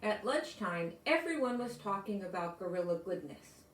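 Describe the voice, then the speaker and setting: An older woman with an American accent reading a children's story aloud, deliberately and explaining things as if to young listeners. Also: mid-range voice, expressive, slight background noise. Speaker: storybook reader. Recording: roomy echo, distant from microphone.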